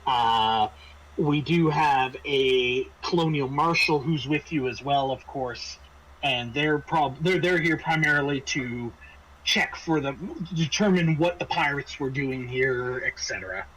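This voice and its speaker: A man running a tabletop roleplaying game, casually briefing his players in a halting, explanatory way with frequent hesitations and restarts. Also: mid-range voice, a bit bright, a little hiss or hum, poor audio. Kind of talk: semi-improvised briefing to players, narrating a scenario.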